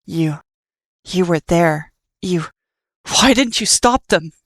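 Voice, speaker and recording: A woman voicing a bitter, furious cursed male skeleton who shouts his lines. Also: lowish voice, animated, takes it slowly, dry recording, studio quality, exaggerated voice.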